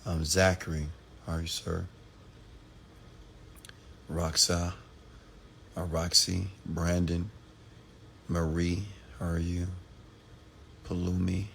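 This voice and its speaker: A hoarse man from Texas talks late at night on a livestream, his voice very low with a gravelly fry. He repeats himself and calls out viewers by name in a motivational tone. A faint hiss sits under the recording. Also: completely flat delivery, takes it slowly, long pauses, a bit bright. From Texas, USA. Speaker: entrepreneur hosting a live stream.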